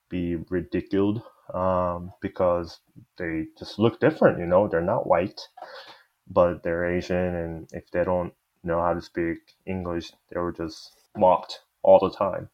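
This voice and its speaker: A very low-voiced man with a Korean accent talks in an easygoing, chatty way, sprinkling his sentences with "you know". The recording sounds dull and lo-fi. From South Korea. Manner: easygoing, laughs often, fillers like "you know". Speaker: guest. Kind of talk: casual panel discussion.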